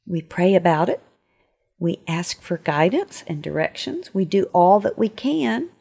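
A woman's voice: lowish voice, sing-song, measured pace, gravelly, dark tone, slightly rough. A woman speaking expressively in a devotional talk for children, posing questions as she explains. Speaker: author giving a short lesson.

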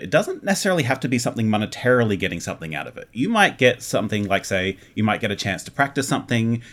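Aussie guy chatting in an interview, slightly low voice, animated and conversational. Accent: Australian. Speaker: interview guest, audio drama producer. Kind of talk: casual interview chat.

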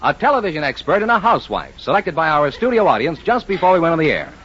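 A man's voice: fairly high pitch, big pitch swings, fast, fairly bright tone, husky. An American man announcing a radio quiz show in a 1950s broadcast style. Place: radio studio before a live audience. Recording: muffled old-time radio with hiss.

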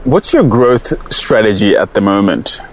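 A man's voice: on the low side, sing-song, a little slow. A man interviewing a guest in a conversational way, feeling his way through his questions as host.

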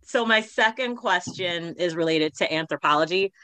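A woman's voice: on the low side, normal speed, bright, forceful tone, no echo, rough recording. A woman co-hosting a podcast, asking a guest a long, thoughtful question in a chatty way. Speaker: podcast co-host. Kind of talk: casual interview questions.

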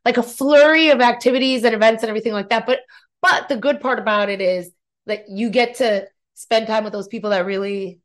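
Chatty woman in her forties, slightly high. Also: fairly even intonation, a little fast. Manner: warm, chatty, laughs easily, swears casually. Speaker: podcast guest, host's fiancée. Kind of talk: casual chat. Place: home living room.

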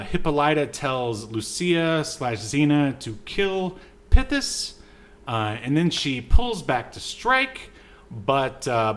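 A man co-hosting a podcast, casually recapping a movie scene by scene, haltingly reading from notes with joking asides. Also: mid-range voice, fairly bright tone. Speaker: podcast co-host.

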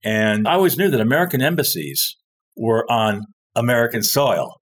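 Man, conversational storyteller, rambling, word-searching, mid-pitched voice. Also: lively intonation, normal speed. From born in France, grew up overseas. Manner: rambling, searches for words, trailing restarts. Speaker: guest telling stories.

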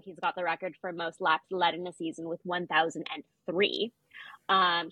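A woman's voice: medium pitch, bright, forceful tone, husky, no room sound, rough recording. A woman co-hosting a podcast, chatting casually about sport with wry asides. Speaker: podcast co-host. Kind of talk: casual sports chat, recapping records.

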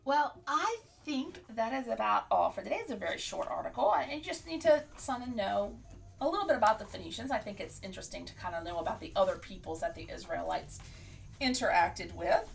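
A woman hosting a podcast, reading an article aloud with careful, deliberate pacing and frequent restarts, then signing off casually. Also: medium pitch, lively intonation, vocal fry, far from the mic. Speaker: podcast host.

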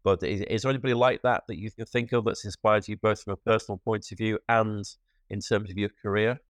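A man's voice: on the low side, fairly even intonation, fast talker, no room sound. A man hosting a podcast interview, playfully setting up imagined scenarios for his guest. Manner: playful, sets up imaginative questions.

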